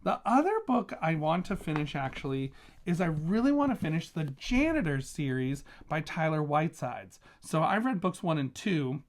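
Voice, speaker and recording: A man's voice: fairly high pitch, very animated, moderate pace. A man talking casually as a vlogging reviewer, gushing with emphatic, enthusiastic delivery. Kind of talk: casual chatty book review vlog.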